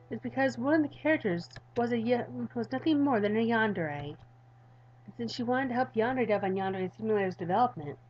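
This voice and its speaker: A woman's voice: medium pitch, lo-fi sound, a little hiss or hum. A woman gaming YouTuber reading a visual novel aloud, with casual commentary to viewers.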